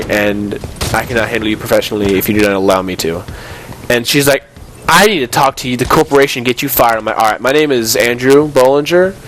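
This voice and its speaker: A man's voice: medium pitch, fairly bright tone. Male, 19, medium voice, exasperated gamer recounting exchanges, slightly noisy home recording. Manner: exasperated, acting out remembered exchanges. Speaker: gaming video host. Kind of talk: casual storytelling while playing a video game.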